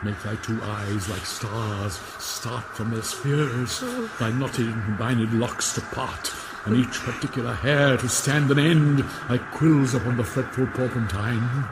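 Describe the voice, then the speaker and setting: A man's voice: mid-range voice, unhurried, hardly any pauses, very noisy, close to an everyday voice. A man playing the tormented ghost of a murdered royal father in a radio drama, grave and portentous. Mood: solemn, tormented. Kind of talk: classical radio drama acting.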